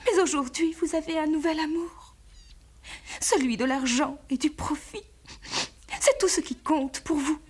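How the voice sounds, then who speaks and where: Cartoon jilted young woman: extremely high, sorrowful, reproachful, plaintive. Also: a little slow. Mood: hurt, reproachful. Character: heartbroken young woman, gently reproachful sweetheart. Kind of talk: French cartoon voice acting.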